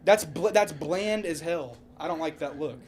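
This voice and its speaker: A man's voice: fairly high pitch, moderate pace, darkish tone, a little hiss or hum. A man co-hosting a podcast in casual, heated debate, opinionated and repetitive, with casual swearing. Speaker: podcast co-host.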